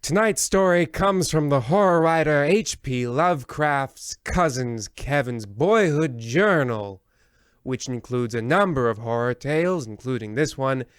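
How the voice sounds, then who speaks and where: Slow, creepy radio horror host played by a man. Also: medium pitch, smooth, no echo, studio quality, close to an everyday voice. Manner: theatrical, drawn-out, mock-sinister. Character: creepy, pompous, insulting male horror-show host. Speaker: host of an anthology radio play. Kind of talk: parody of old-time radio horror hosting.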